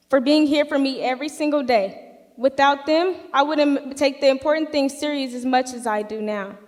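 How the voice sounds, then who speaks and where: A young woman with a high voice delivers an earnest, heartfelt graduation speech. Her words echo with the long reverb of a large hall. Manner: earnest and heartfelt, rising to loud cheers. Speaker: graduating student.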